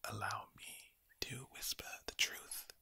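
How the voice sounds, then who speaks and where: A man speaking in a low, breathy, villainous-sounding whisper, slowly and repetitively reassuring the listener in ASMR style. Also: sharp tone. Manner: slow, repetitive, soothing address to the listener.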